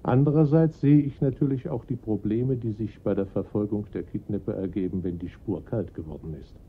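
Composed, formal police inspector. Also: male voice, fairly low pitch, slightly rough. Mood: measured, probing. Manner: formal, measured questioning. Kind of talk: German radio crime drama acting. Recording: muffled lo-fi radio play recording.

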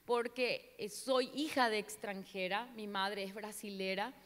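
A woman's voice: medium pitch, steady tone, measured pace, fairly bright tone. A middle-aged woman, Portuguese her first language, preaching a sermon in an earnest, searching way, restarting words often, on a handheld mic. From Paraguay, Brazil border. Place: large church stage. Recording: long church hall reverb.

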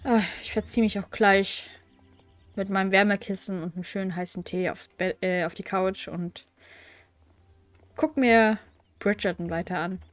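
A woman's voice: mid-range voice, steady tone, normal speed, long pauses, dry recording. A young woman with a cold, chatting casually with wry asides and fillers as she plays through a game. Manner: chatty, wry, with frequent fillers and self-corrections. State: has a cold. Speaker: let's play host. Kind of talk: casual gameplay commentary, reading quest text aloud. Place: at home.

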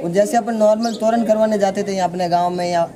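A man's voice: high-pitched, fairly even intonation, a little fast. A man, answering casually in an interview as a horse trainer. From Rajasthan, India. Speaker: horse trainer and stud farm owner, interviewee. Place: outdoors in a farm yard by a brick wall. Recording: outdoor recording with birds and background chatter.

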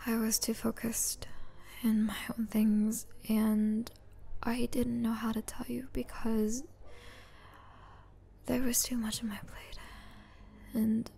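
Woman acting a soft, breathy, overwhelmed young student. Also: on the high side, little pitch movement, full character voice. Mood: apologetic, guilty. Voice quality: soft, breathy, often whispery. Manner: hesitant, halting. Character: apologetic, overwhelmed young female student caring for her brother. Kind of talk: ASMR roleplay acting, soft and halting.

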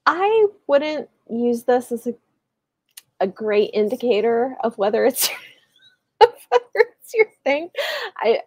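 A woman's voice: a little high, very animated, slow, pauses often, a little mellow, dry recording. A woman in her thirties chatting casually about books on a video call, hedging as she goes and often saying "like". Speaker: book channel host. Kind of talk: casual book discussion on a live video call. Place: small indoor room with bookshelves. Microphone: earbuds on a video call.

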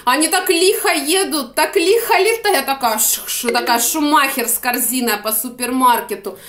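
A young woman with a Ukrainian accent rambles through a shopping haul in a fairly high voice, chatty and quick to laugh. She talks into a phone held at arm's length in a small room, and the sound bounces around with a noticeable echo. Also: fairly bright tone. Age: young adult. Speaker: vlogger. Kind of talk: casual shopping-haul chat. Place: small room indoors by a window. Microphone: phone camera at arm's length. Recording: echoey room.

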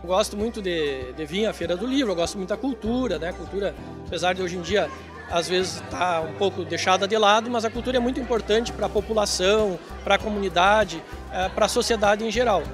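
A man's voice: sits high, brisk, over music. A middle-aged man speaking casually as an interviewed visitor. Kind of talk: casual vox pop. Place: busy fair under a tent. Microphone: handheld reporter microphone.

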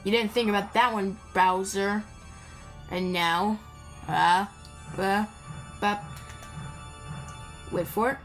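Woman, medium pitch, casual gameplay commentary, playful, rambling, music in the background. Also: measured pace, a bit bright. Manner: rambling, playful, talks to the game characters. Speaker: gaming video creator. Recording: game music behind the voice. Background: video game music.

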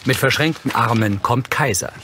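A man's voice: mid-range voice, normal speed, background noise. A man reading scripted audio description narration in a neutral, matter-of-fact way. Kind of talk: scripted present-tense narration.

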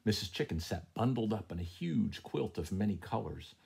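A middle-aged man with a husky, breathy voice, reading a children's story aloud at home as a teacher, expressively, with chatty asides. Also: on the low side, expressive, moderate pace, warm tone. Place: small room at home, indoors. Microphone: handheld tablet at arm's length.

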